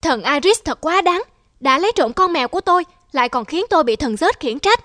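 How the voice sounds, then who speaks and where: A woman's voice: sits high, lively intonation, a little fast, a bit bright, dry recording, clean studio sound. A woman voicing an angry, vengeful young goddess in a cartoon, indignant and scolding. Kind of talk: animated cartoon dubbing.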